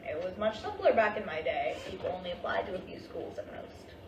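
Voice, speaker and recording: A woman's voice: fairly low pitch, big pitch swings, fairly quick. A woman playing a doting middle-aged mother in a staged play reading, insistent and motherly as she nags and reassures. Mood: teasing, nagging. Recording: echoey room, distant mic, clear hiss.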